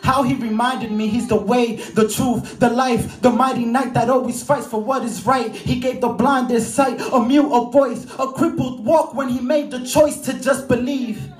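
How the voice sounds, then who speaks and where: A high-pitched male voice delivers rhyming spoken word with emphatic rhythm. The sound is roomy and live, with music playing behind him in a church. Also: steady tone, moderate pace, hardly any pauses. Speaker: spoken word poet at a church youth service. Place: church hall during a live service.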